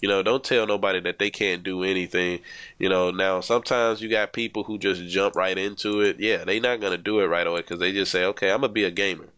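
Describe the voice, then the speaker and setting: A man's voice: low voice, fairly even intonation, fast talker, a bit bright, clear, resonant tone, no room sound, muffled recording. Laid-back stuffy-nosed man gaming. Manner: laid-back, rambling, swears freely, says "man" a lot. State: stuffed-up nose. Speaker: gaming YouTuber. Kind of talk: casual commentary over gameplay.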